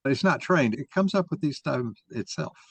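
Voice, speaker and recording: A man's voice: mid-range voice, lively intonation, moderate pace, husky, no room sound. A man moderating a mock trial discussion and arguing his own view as he goes. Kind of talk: argumentative discussion.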